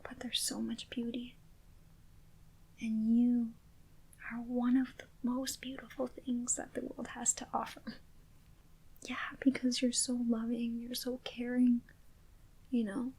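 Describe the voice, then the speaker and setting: A woman speaking in a soft, gentle whisper, reassuring a listener in a comforting ASMR roleplay. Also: a little high, level tone, slow pace, long pauses, husky, low-quality recording.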